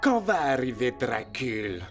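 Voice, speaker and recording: A man voicing a dramatic, urgent swashbuckling cat hero with theatrical delivery in a French animated film dub. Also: mid-range voice, takes it slowly, soft background music. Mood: dramatic, suave. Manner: theatrical, peppered with Spanish exclamations.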